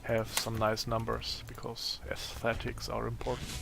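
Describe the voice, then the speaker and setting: A man with a raspy, tired voice giving casual gameplay commentary, thinking aloud as he plays. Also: mid-range voice, monotone, music in the background. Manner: slow, thinking aloud while playing. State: voice strained from a long day of talking.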